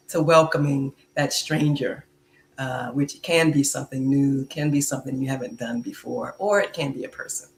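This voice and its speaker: Slow-speaking woman podcast host. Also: deep voice. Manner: slow, warm-hearted, with many fillers. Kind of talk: casual podcast chat. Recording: echoey room.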